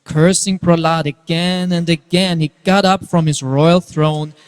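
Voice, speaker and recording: Higher-voiced male narrator in a reverberant hall. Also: steady tone, measured pace, smooth. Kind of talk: dramatic scripted narration of scripture.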